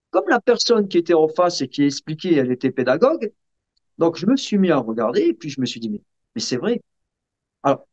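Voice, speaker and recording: A man being interviewed, explaining casually in a halting way and often checking that the listener follows. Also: a little high, a little mellow. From Sicilian family background. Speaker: interview guest. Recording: dull, muffled lo-fi sound.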